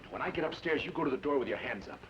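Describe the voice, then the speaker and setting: A man playing a tough, steady ranger hero who speaks tersely and firmly, in an old radio drama. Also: mid-range voice, racing, natural voice. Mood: tense. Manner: terse and firm. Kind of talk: 1950s radio drama acting. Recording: muffled old radio broadcast with hiss.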